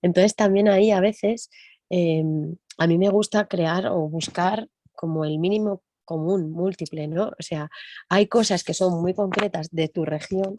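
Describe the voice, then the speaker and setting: Low, mellow-voiced woman musing aloud. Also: measured pace, dry recording. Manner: reflective, thinks aloud with frequent tag questions. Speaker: music teacher and percussionist guest. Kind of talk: conversational interview.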